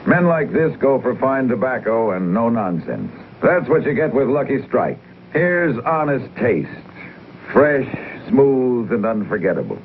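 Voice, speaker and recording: A man with an American accent reading a 1950s commercial as an announcer, measured and emphatic. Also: medium pitch, big pitch swings. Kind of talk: 1950s scripted cigarette ad narration. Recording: muffled, noisy 1950s TV soundtrack.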